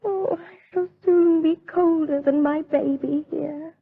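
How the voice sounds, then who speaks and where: Distressed gothic heroine, female actor: very high voice, pious, fearful, melodramatic radio drama. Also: steady tone, a little slow, creaky. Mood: weeping, despairing. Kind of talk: gothic audio drama acting. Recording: tinny band-limited radio drama.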